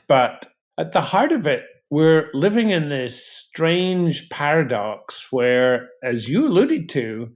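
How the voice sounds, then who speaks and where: A man speaking as an expert interview guest in a deliberate, explanatory way. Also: mid-range voice. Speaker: guest expert, physician researcher.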